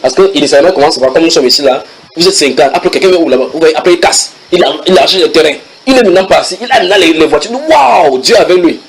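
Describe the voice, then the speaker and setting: A man preaching a sermon to a congregation, reading English passages aloud and translating them with lively asides to his listeners. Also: a little high, very fast, hardly any pauses, low-quality recording. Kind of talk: sermon teaching.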